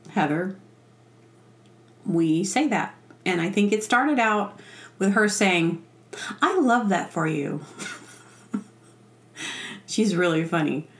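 A woman hosting a podcast alone in a rambling, playful, informal chat style. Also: on the low side. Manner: playful, informal, frequent "like" and "you know". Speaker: podcast host. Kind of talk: rambling casual solo chat.

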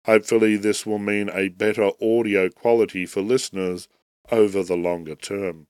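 A man with a head cold and a raspy, nasal voice, hosting a podcast and reading a prepared theology essay in a measured, formal way. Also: lowish voice, steady tone, a little mellow, dry recording, clean studio sound. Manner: measured, formal, deliberate delivery. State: tail end of a head cold. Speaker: podcast host.

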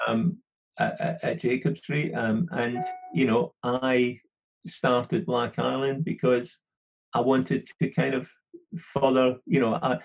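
A man's voice: mid-range voice, completely flat delivery, slow pace, vocal fry, poor audio. A middle-aged man with a Scottish accent, a podcast guest chatting casually and rambling with many restarts. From London. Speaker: podcast guest, studio manager. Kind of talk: casual interview chat.

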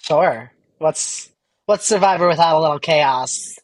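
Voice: mid-range voice, unhurried. A podcast guest of indeterminate sex chatting casually, with amused, laid-back reactions. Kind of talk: casual chat.

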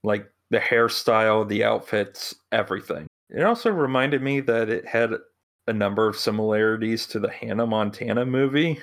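A man hosting a podcast in a casual film-review chat, blunt and given to pauses. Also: on the low side. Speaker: podcast host.

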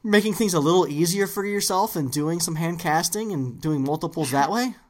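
A woman's voice: on the low side, a little fast, darkish tone. A woman hosting a casual interview, enthusiastic and rambling with many fillers.

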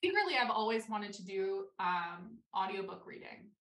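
A woman from Maryland, a writer and teacher, chatting as a guest with a low voice, some vocal fry and many fillers. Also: very animated, normal speed, a bit bright. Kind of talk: casual interview chat. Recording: roomy, somewhat far from mic.

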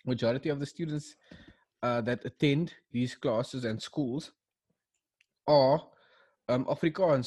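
A young man talks casually on a podcast. He is animated and full of slang, often restarting his sentences. His voice sits at a middling pitch. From South Africa. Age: young adult. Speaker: podcast co-host. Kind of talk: casual chat, reading a list aloud.

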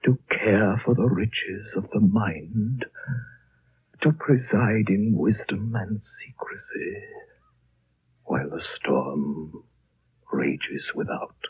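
Super old frail monk, really breathy gentle prophecy voice, muffled 1930s radio sound. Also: male voice, lowish voice, exaggerated voice. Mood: tender, solemn. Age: older. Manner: slow, solemn, hushed, with long pauses. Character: ancient, gentle, dying High Lama, prophetic and serene. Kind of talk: 1930s radio drama acting. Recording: muffled, band-limited 1930s radio recording.

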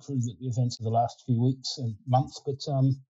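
Aussie guy in his 60s, very dark husky voice, rambly with lots of ums, bit muffled. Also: medium pitch, normal speed. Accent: Australian. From Australia. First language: Ukrainian. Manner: relaxed and rambling, many fillers and restarts. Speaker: guest, winemaking consultant. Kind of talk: casual interview chat.

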